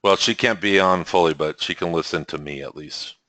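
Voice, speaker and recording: A man hosting a spiritual webinar, talking in a relaxed way with chuckles and frequent restarts, through a computer mic on a call. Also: low-pitched, brisk, dry recording. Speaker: webinar host. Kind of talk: teaching webinar talk.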